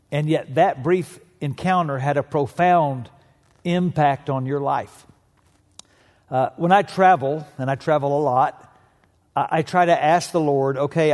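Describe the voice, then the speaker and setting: Male pastor, slightly high voice, conversational storytelling sermon, reverberant hall. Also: a little mellow.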